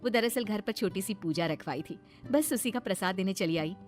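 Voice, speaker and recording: A woman plays a wise middle-aged neighbour aunty in a cartoon moral story. She is gentle and calmly reasoning, mid-pitched, with faint music underneath. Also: normal speed, lightly acted. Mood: friendly. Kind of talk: animated moral story dialogue.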